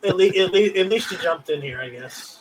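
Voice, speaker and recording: A man hosting a livestream, chatting casually and haltingly, often repeating words as he rambles along. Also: high voice, animated, a crowd in the background, low-quality recording. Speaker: livestream host. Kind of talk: casual unscripted chat about gear.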